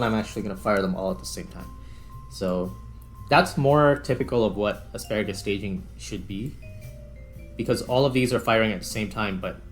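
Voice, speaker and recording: A man giving casual gameplay commentary as a host, thinking aloud as he works. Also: fairly low pitch. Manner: thinks aloud while working. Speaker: gaming video host. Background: background music.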